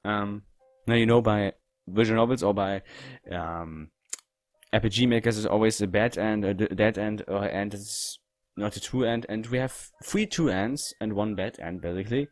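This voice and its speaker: A man giving casual game commentary in halting, non-native English phrasing. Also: fairly low pitch, dry recording. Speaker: gaming video host.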